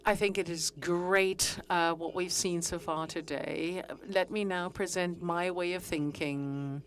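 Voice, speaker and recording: A middle-aged woman giving a conference presentation, speaking haltingly with frequent pauses and fillers. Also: fairly low pitch, steady tone. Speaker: conference speaker on fitness training.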